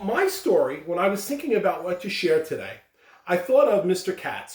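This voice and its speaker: A man's voice: sits high, a little mellow. A man speaking emphatically and directly to children, giving school announcements and telling stories. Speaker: school announcer reading aloud. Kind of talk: morning announcements and storytelling for children. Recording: echoey room, distant microphone.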